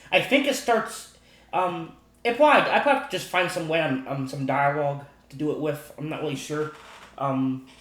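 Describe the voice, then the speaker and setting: A man casually explaining game modding off the cuff, in a halting, repetitive way that circles back over his points. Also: a little high, a bit bright. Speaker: gaming video host. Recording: echoey room sound.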